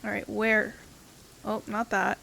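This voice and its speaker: A woman's voice: medium pitch, level tone, takes it slowly, vocal fry, bright, forceful tone, slight background noise, poor audio. A woman giving casual playthrough commentary as a gaming host, in a wry, jokey, slangy way.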